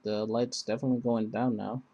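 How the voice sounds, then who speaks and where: A man hosting a casual, unscripted product review, speaking in a halting, rambling way that often circles back on itself. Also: mid-range voice, flat delivery, warm tone, slightly rough, dull audio. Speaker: video host reviewing gear.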